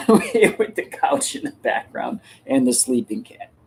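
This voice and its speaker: A man talks casually and slowly, as if thinking aloud while hosting a stream. His manner is informal, and there is faint noise in the background. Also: high-pitched, big pitch swings, vocal fry. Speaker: livestream host and product maker. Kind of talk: casual chat.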